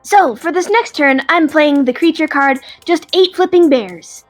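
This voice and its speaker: A woman voicing a smug, playful female dragon who quips deadpan in an audio drama. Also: high-pitched, measured pace. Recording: faint music behind.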